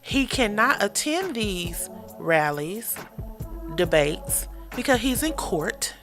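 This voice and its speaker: A woman's voice: mid-range voice, very animated, takes it slowly, a bit bright, soft background music. A woman co-hosting a podcast with her husband, talking in casual political chat, listing points wryly with sarcasm and frequent fillers. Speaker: podcast co-host.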